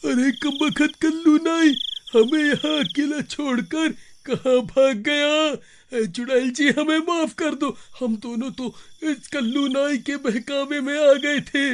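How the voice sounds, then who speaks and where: Man acting a very high-pitched cowardly sidekick. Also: steady tone, slow, a little hiss or hum, exaggerated voice. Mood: panicked. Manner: exclaiming, pleading. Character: gullible cowardly male sidekick of a village barber.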